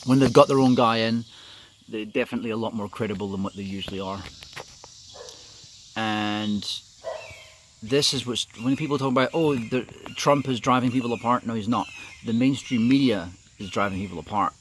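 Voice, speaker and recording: A man's voice: mid-range voice, normal speed, slight background noise, low-quality recording. A middle-aged man from New Zealand giving an informal, opinionated monologue, rambling and hesitant as he goes. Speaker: video commentator.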